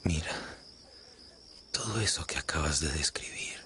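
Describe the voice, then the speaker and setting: Ardent lover, very deep, bright breathy near-whisper, earnest, intimate, radio drama. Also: male voice, measured pace, a little hiss or hum, exaggerated voice. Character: ardent man madly in love, pleading tenderly. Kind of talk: romantic radio drama acting, hushed.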